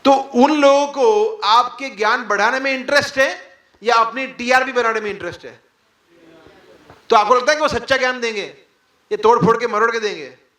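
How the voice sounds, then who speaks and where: A man's voice: very high-pitched, normal speed, sharp tone, echoey room. A man leading an interactive spiritual class before a live audience, firing rhetorical questions and mock quotes, with English words mixed into his talk. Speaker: devotional class speaker.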